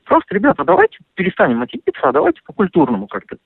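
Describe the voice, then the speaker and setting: Gravelly animated man on a phone line. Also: sits high, rapid-fire, no room sound. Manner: animated, indignant, full of fillers and restarts. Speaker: interviewee on a phone call. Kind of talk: casual phone conversation. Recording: dry tinny phone line.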